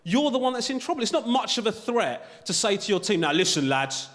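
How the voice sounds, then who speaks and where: A man's voice: high voice, big pitch swings, brisk, a bit bright, big-room sound. A male stand-up comedian doing a conversational routine on a TV studio stage in front of a live audience, often tagging his lines with "right?".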